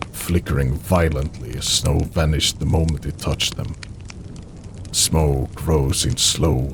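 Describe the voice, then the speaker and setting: A man narrating an audio drama in the first person, with a somber, measured, dramatic delivery in short phrases and a gravelly voice. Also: extremely low pitch, noisy background. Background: background music.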